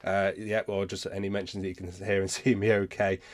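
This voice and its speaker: A man hosting a casual live question-and-answer session, speaking haltingly and often rephrasing himself as he goes. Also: sits low, level tone. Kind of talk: casual livestream chat, answering viewer questions.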